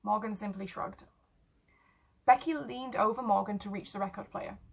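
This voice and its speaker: Creaky, husky woman reading fiction. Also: medium pitch, normal speed. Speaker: short story narrator. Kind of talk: scripted fiction narration.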